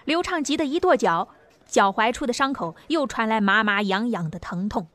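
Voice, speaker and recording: Higher-pitched woman narrating an audiobook. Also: expressive, normal speed, a bit bright, dry recording.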